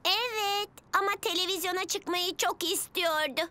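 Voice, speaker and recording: A woman's voice: extremely high pitch, moderate pace, sharp tone. A woman voicing a sweet, encouraging little girl bus with a childlike, exclamatory delivery in a children's cartoon dub. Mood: concerned. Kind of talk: animated children's cartoon dubbing.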